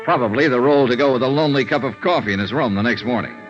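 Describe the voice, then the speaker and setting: An actor playing a world-weary, dry American investigator in a 1950s radio noir drama, with clipped hard-boiled delivery. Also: male voice, medium pitch, fast, faint music behind, natural voice. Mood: somber narrating. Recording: muffled vintage radio recording.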